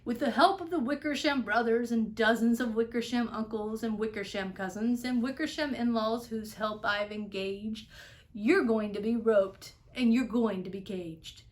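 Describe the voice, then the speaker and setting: A woman's voice: a little high, moderate pace, lightly acted. A woman voicing a bossy, scornful big female kangaroo in a storybook, with a snapping, pompous manner. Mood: threatening.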